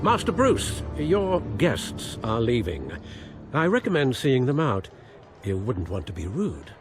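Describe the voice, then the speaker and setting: A man playing a loyal older butler in a video game, formal and gently sardonic in manner. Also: mid-range voice, wildly swooping pitch, measured pace, over music. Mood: polite, prodding. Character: dry-witted loyal older butler to a rich vigilante. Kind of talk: dramatic video game voice acting.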